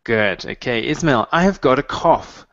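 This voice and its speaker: A man's voice: medium pitch, animated, a bit bright. Patient man teaching over headset. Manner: clear, patient, encouraging, models phrases slowly. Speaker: English teacher. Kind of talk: online mini-lesson, modeling phrases for students. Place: small room at home, video call. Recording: dull, dry video-call audio.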